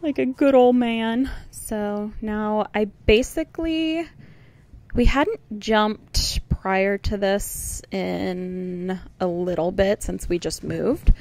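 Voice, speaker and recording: A woman's voice: mid-range voice, slow pace, a little mellow. A woman giving chatty, affectionate, casual vlog commentary as a horse rider. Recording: clear background noise.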